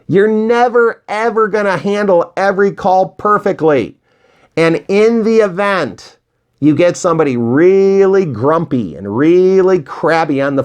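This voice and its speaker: Man, mid-pitched voice, motivational tutorial delivery, folksy, encouraging, emphatic. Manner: animated, acts out imagined dialogue; emphatic, with rhetorical questions. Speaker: real estate investing coach. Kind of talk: motivational tutorial talk to viewers.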